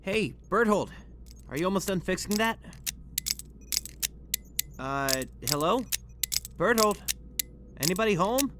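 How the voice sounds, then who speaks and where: A woman's voice: mid-range voice, animated, slow pace, long pauses. A woman playing a friendly, concerned young soldier in an audio drama, casual and probing. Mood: calling out. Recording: clearly noisy ambience.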